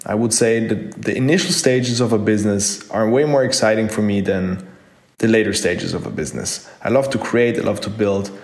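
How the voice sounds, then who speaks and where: A man's voice: fairly low pitch, moderate pace, darkish tone. A man, an online entrepreneur telling his own story in an earnest, enthusiastic way with frequent fillers. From Austria. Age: 30s. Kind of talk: personal story told to camera.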